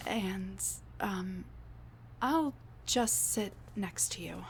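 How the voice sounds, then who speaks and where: A woman voicing a scatterbrained older woman scientist who has traveled back in time to meet her husband. She stammers and restarts, full of fillers, and sometimes drops to a hushed mutter. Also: medium pitch, animated, extremely slow, slight background noise. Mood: flustered, pleading. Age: middle-aged. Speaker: audio roleplay performer. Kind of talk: audio roleplay drama.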